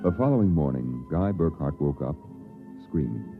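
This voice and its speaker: Very deep, ominous radio narrator. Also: male voice, animated, gravelly, full character voice. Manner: grave, deliberate, dramatic. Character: grave, ominous narrator of eerie science fiction tale. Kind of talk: dramatic old-time radio narration. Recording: narrow-band vintage radio recording. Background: music.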